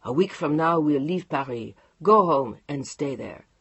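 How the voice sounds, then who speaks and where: A woman voicing a controlling husband who speaks quietly and firmly. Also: expressive, unhurried, husky, no room sound, muffled recording, full character voice. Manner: low, firm, unbending.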